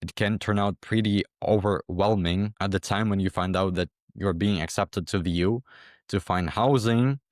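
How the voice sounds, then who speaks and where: Low-voiced young man from Ukraine. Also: moderate pace, no echo, studio quality. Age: young adult. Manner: hesitant, repeats words, many fillers. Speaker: interview guest, former exam candidate. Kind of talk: casual interview talk.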